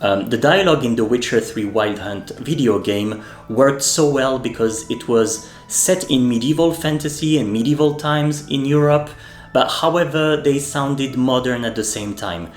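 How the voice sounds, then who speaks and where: A man, a native French speaker, hosting a video essay and speaking in a measured way, often pausing with fillers and restarting his sentences. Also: mid-range voice. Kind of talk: spoken commentary essay. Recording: echoey room with faint music.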